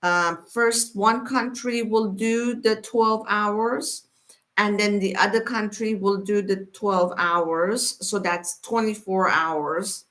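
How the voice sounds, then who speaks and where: A woman's voice: mid-range voice, fairly even intonation, a bit bright. A woman born in Iran hosting her weekly inspirational live stream, talking to viewers casually with many fillers. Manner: unhurried, many fillers, speaks straight to viewers.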